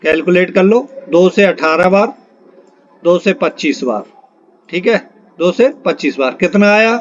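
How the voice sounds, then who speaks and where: A man's voice: sits high, lively intonation, a little slow. A man teaches an exam-prep lesson, reading out questions and options and repeating them for emphasis. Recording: dull, lo-fi microphone sound.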